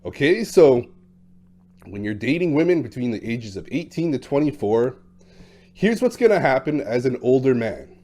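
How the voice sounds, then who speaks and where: A man with a dark, mellow voice talks straight to camera in a loose, blunt monologue. He is talkative and casual and drops in quick impressions of other people. His pitch sits at an everyday middle. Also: sing-song. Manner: talkative, blunt, acts out quotes in shifting voices. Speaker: dating coach.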